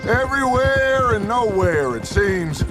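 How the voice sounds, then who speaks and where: Middle-aged outlaw leader, American, eloquent, wry, animated pitch, music behind. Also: male voice, slow pace, exaggerated voice, poor audio. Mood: amused, storytelling. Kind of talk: dramatic video game acting.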